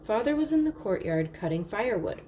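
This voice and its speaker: A woman's voice: mid-range voice, sing-song, a little fast, warm tone, a little hiss or hum. A woman narrating a children's picture book aloud in a storytelling style.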